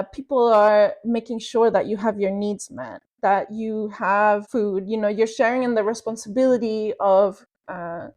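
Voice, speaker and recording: A woman's voice: medium pitch, darkish tone. A woman from Venezuela, a researcher guest on a podcast, giving a long reflective answer with many fillers and restarts.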